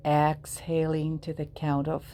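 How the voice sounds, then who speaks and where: A woman leading a guided yoga nidra meditation in a soothing, measured way, leaving long pauses between short phrases. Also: low-pitched, monotone, mellow, slight background noise.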